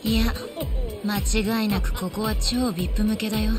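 An actress playing a cool, poised young woman in a Japanese game dub, brisk and self-assured. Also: medium pitch, fairly even intonation, music in the background, close to an everyday voice. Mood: knowing.

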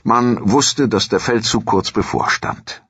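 A man narrating a historical audio documentary from a script in a measured, formal storytelling manner. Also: lowish voice, fairly bright tone, breathy edge, dry recording, muffled recording.

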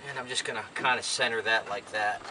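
A man's voice: fairly low pitch, steady tone, brisk. Older American man, noisy distant recording. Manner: folksy, rambling, talking to himself while working. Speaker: DIY vlogger. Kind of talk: casual chat while doing a hands-on job. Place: inside open cargo van beside a garage, outdoors. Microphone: camera a few feet away. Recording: distant camera mic, loud noise, clicks.

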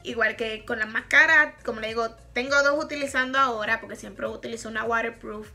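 Chatty Puerto Rican woman, bright voice. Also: fairly high pitch, steady tone, brisk. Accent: Puerto Rican Spanish. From Puerto Rico. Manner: chatty, frank, laughs often. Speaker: makeup vlogger. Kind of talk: casual product review. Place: bedroom, indoors, small.

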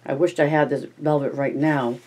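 A reader voicing a big, simple-minded, childlike man in a storybook, earnest and anxious, with naive halting speech and a rural American accent. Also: medium pitch, fairly even intonation, a little mellow, slight background noise, natural voice. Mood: happy, wistful.

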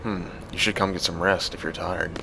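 Man acting a deep, gravelly, aloof young mercenary. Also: a little fast, noisy background. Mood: dry, detached. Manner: deadpan, terse. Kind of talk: amateur video game fandub acting.

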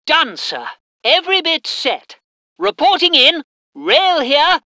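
An eager British train crew soldier from a war strategy game, reports in clipped military phrases. The voice is high and forceful, swinging widely in pitch. It sounds dutiful and keen to serve. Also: female voice, sing-song, slow, dry recording, clean studio sound, full character voice. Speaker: game voice actor.

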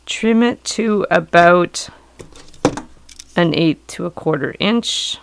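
Hoarse middle-aged woman teaching a craft. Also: on the low side, takes it slowly, noisy background. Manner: calm, patient, explanatory. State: hoarse from a cold. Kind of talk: step-by-step craft instruction. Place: indoors at a work table.

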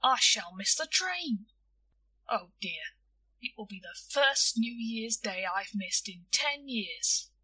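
Woman acting out a lovesick storybook shopkeeper man, flustered, melancholy, slightly high, husky. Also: lively intonation, takes it slowly, bright, forceful tone, distant mic, exaggerated voice, rough recording. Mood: anxious. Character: lovesick, fretful, melancholy male sweet-shop keeper.

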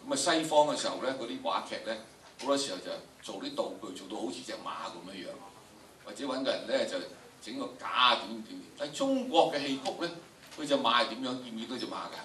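An older man with a Hong Kong Cantonese accent lectures to students in an emphatic, theatrical way. His voice is bright and forceful. It comes from some distance in an echoey lecture room, with some noise. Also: medium pitch, expressive, fast talker. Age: older, about 70s. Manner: theatrical, emphatic, repeats phrases for effect. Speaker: drama teacher, lecturer. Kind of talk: animated classroom lecture to students. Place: large indoor lecture room with audience.

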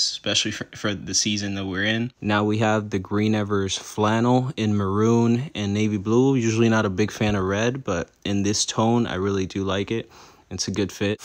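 A young man in his twenties with a low voice talks casually, as if to followers on a home vlog. He comes from Puerto Rico and speaks in an informal, everyday way. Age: 20s. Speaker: vlogger. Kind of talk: casual vlog chat and product review.

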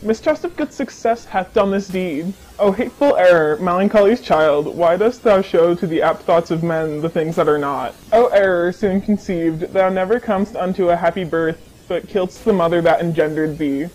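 A man's voice: sits high, normal speed, hardly any pauses, darkish tone, low-quality recording. A man playing a solemn Roman messenger officer in a declamatory radio Shakespeare drama. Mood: lamenting. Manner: declamatory verse delivery. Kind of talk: old-time radio Shakespeare acting.

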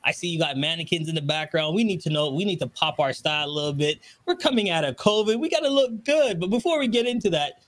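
High-pitched, enthusiastic man hosting. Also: fast. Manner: enthusiastic, animated, gesturing. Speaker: talk show host. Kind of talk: upbeat morning show chat. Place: small home studio, indoors. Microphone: desk microphone, close.

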